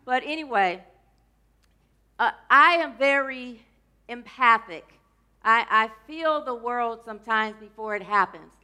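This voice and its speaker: A woman minister giving a loose, chatty sermon to her congregation. Her voice is scratchy today and she keeps clearing her throat, and she tends to wander off topic and joke along the way. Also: a little high, lively intonation, measured pace, bright, forceful tone. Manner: rambling, playful, drifts off topic mid-sentence. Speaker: minister of a church.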